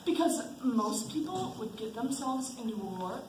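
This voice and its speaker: A woman's voice: fairly high pitch, animated, normal speed, darkish tone, lightly acted. A teenage girl playing a mocking, playful friend in an amateur student stage play. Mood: teasing. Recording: echoey school stage, faint noise.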